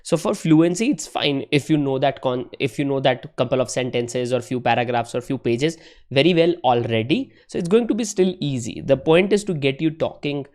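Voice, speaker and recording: A man's voice: medium pitch, normal speed, darkish tone. A young man giving a casual spoken tutorial, talking conversationally with frequent "right?" tags and self-corrections. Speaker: English-learning YouTuber.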